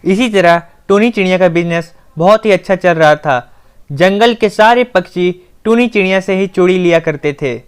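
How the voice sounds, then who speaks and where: A woman's voice: on the low side, moderate pace, smooth. A woman narrator reading a scripted children's story.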